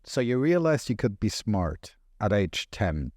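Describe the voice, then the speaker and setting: A man hosting a podcast, asking probing questions with gentle hesitations. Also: on the low side, sing-song, a little slow, no room sound, studio quality. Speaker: podcast host. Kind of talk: interview questions.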